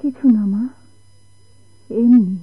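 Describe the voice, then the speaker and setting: Slow, plaintive sailor's wife. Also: female voice, on the high side, breathy edge, no room sound, heavily acted. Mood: weary, sorrowful. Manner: halting, plaintive, dramatic. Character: anxious, sorrowful sailor's wife and struggling mother. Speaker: radio drama actor. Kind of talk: Bengali radio drama acting. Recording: tinny, band-limited old radio recording.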